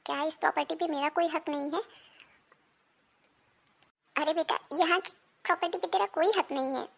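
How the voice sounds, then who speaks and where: A woman acting an emphatic, dramatic role in a Hindi animated family melodrama. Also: high voice, normal speed, creaky. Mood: firm, scolding. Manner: emphatic, dramatic, scolding and pleading delivery. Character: women of a quarrelling Indian family: daughter, mother, daughter-in-law.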